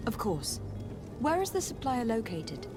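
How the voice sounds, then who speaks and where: An actress playing a courteous, composed young noblewoman envoy in a video game, speaking in a measured, polite way. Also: fairly high pitch, big pitch swings, music in the background. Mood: calm. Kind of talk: video game cutscene acting.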